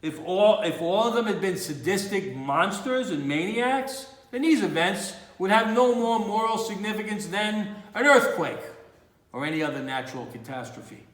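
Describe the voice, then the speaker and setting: A man acting a grave, resolute judge in a theatrical courtroom monologue, with measured, emphatic oratory. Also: high voice, animated, fairly bright tone. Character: grave, morally resolute male judge delivering a verdict. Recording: roomy, echoey indoor room.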